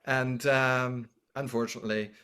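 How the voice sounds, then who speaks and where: Dutch-accented man, podcast guest, loose and halting delivery, middle pitch. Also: fairly even intonation, sharp tone, clean tone. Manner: halting, many restarts and trailing phrases. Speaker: podcast guest, music school founder. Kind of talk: casual conversational interview.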